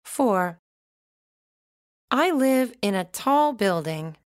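A woman reading numbered items for a recorded English listening exercise, slowly and carefully enunciated. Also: medium pitch, sing-song, no echo, studio quality. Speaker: recorded listening test reader.

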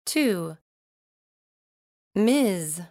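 A woman reads out numbered test items at a very slow, deliberate pace, each word spelled out clearly. The recording is dry and close, with no room sound at all. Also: medium pitch, sing-song, a little mellow, no room sound. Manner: slow, carefully enunciated reading. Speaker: recorded listening test reader. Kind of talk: scripted listening exercise.

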